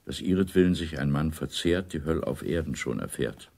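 An actor playing a troubled, reserved intelligence officer in a radio drama, restrained and halting. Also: male voice, extremely low pitch, animated, gravelly, a little mellow, exaggerated voice. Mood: quietly pained.

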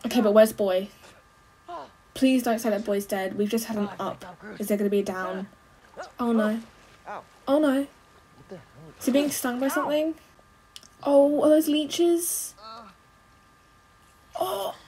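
A young adult woman giving casual, expressive running commentary as she reacts to a film, full of exclamations. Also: a little high, moderate pace, pauses often, low-quality recording. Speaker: movie reaction host. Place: small bedroom.

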